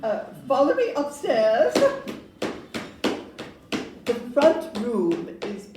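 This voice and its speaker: A woman's voice: on the high side, huge pitch swings, drawn-out words, heavily acted. An actress playing a polite, upbeat Victorian London estate agent in a stage audio play, genteel and salesmanlike as she glosses over flaws. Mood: brightly evasive. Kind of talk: audio-play acting before an audience. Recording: roomy, distant live pickup, faint noise.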